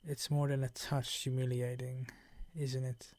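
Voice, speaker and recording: Older vampire count played by a male actor for ASMR roleplay: suave, possessive, unhurried, condescending, with breathy menace and a close, dry sound. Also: medium pitch, level tone, a little mellow, no echo, natural voice. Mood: smug, amused. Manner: slow, ornate, condescending seductive purr.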